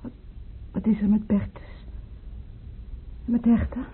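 A woman's voice: medium pitch, flat delivery, takes it slowly, a little hiss or hum, natural voice. A woman acting a fragile, confused young wife in hospital in a radio drama. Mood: bewildered. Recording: muffled phone-like old radio recording.

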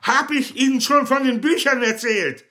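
An actor playing a drunk, stout middle-aged party guest in a radio drama, emphatic and repeating himself. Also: male voice, very high voice, moderate pace, pushed and bright. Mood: confiding, drunk. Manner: tipsy, emphatic, repetitive.

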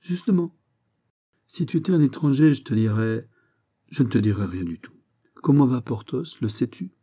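A man playing a gruff, middle-aged musketeer captain in an audiobook, blustering and mocking, with fatherly sarcasm. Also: mid-range voice, expressive, moderate pace, dark tone, voice pushed up. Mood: teasing scepticism. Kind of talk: dramatised audiobook of a historical novel.

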